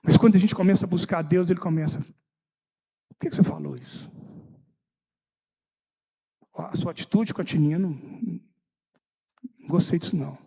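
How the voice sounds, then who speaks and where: A man with a Brazilian Portuguese accent, an evangelist, speaking hoarsely and hesitantly to the church. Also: sits high, normal speed, pauses often, dark tone. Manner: hesitant, humble. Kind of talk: church testimony, sermon-like. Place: church hall.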